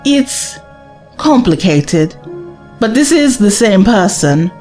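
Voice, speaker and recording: A woman's voice: mid-range voice, big pitch swings, slow, mellow, soft background music. An actress playing a spirited, sceptical young woman, a traveller's companion in an audio drama, questioning and cheeky. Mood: matter-of-fact. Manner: questioning, cheeky, exasperated edge.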